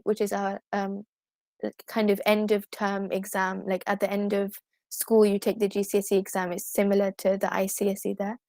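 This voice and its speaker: A teenage girl from the UK speaks in a high voice with a British accent. She sounds earnest and polite as she chats in an interview, recorded very dry. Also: a little mellow, no echo. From United Kingdom. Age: teenager, fifteen. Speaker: guest poet. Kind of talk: casual interview talk.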